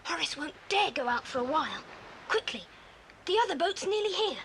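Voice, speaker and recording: A woman's voice: high-pitched, expressive, fairly quick, fairly bright tone. A woman playing a bold, bossy boy who leads an adventure in a children's audio drama. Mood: commanding. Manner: decisive, commanding. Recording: faintly hissy old cassette tape.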